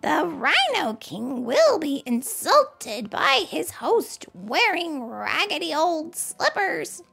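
A woman voicing a fussy, scandalized male stork with a croaking voice in a children's storybook. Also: high voice, very animated, slow, pushed and bright, faint music behind, pitched above the performer's own voice, heavily acted. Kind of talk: children's storybook read-aloud.

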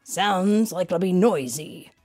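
A woman's voice: fairly low pitch, takes it slowly, darkish tone, soft background music. A woman reading aloud and voicing a grumpy veteran male police sergeant who grumbles curtly. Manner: grumbling, curt.

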